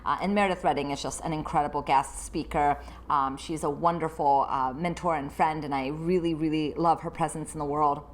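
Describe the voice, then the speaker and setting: A woman's voice: deep voice, fairly even intonation, brisk, few pauses, a little hiss or hum. A woman therapist who grew up in the United States, hosting her own solo podcast and reflecting aloud in an unscripted way.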